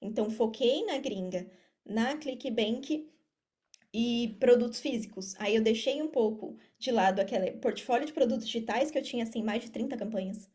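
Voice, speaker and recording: High-voiced Brazilian woman explaining casually. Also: moderate pace, warm tone. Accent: Brazilian Portuguese. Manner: explanatory, repeats phrases for emphasis. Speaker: podcast guest, affiliate marketer. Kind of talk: casual interview talk.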